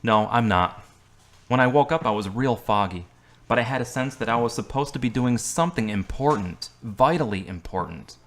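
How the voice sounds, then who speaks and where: A man playing a wounded, guarded young man who speaks in a flat, terse way. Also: mid-range voice, normal speed, lightly acted.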